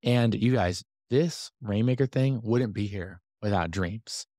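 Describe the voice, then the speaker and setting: A man hosting a business podcast, talking casually to his community with a slightly hoarse voice and lots of fillers and asides. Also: lowish voice, measured pace, no echo, studio quality. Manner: energetic, chatty, many fillers and asides. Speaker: podcast host and business coach. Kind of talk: casual talk to a community call.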